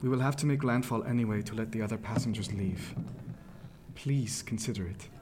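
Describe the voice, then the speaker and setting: An actor playing an earnest, protective ship's surgeon in a period radio drama, with formal, cautious phrasing. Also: male voice, fairly low pitch, brisk, close to an everyday voice. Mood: protective, pleading. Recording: clearly noisy, ambient sound behind.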